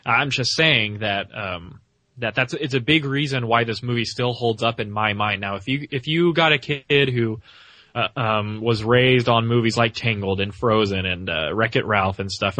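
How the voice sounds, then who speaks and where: A man with a bright, forward voice in a dry, close recording. He talks casually as a podcast co-host, offering opinions and hedging as he thinks them through. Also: mid-range voice, normal speed, no room sound. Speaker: podcast co-host reviewing animated films. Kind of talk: casual film discussion.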